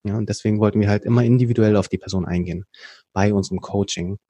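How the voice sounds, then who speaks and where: A man from Berlin, a podcast guest, telling his story in a casual chat, often pausing with fillers and restarting sentences. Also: fairly low pitch, steady tone, dark tone, no room sound. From Berlin, Germany. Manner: explains at length with frequent hesitation fillers and restarts. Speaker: podcast guest, company co-founder.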